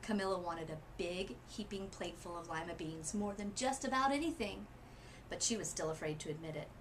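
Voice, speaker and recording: A woman reading a children's story aloud expressively, with deliberate, dramatic phrasing. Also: lowish voice. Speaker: literacy coach reading a picture book aloud. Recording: noisy room ambience.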